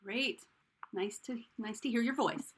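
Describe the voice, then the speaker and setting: A woman running an online group call, calling on people by name in a brisk way and laughing easily. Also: fairly high pitch, noisy background. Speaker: call organizer. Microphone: video call.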